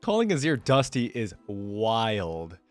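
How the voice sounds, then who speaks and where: A man's voice: on the low side, huge pitch swings, slow pace, soft background music. A man hosting a reaction channel, reading aloud and adding casual, conversational commentary. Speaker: host of a game lore reaction channel.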